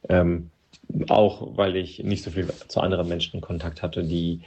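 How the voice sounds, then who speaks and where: A man from Moldova whose first language is Russian, an interview guest talking hesitantly with many pauses and restarts. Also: sits low, little pitch movement, a little mellow, slightly rough, lo-fi sound. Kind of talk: personal interview account.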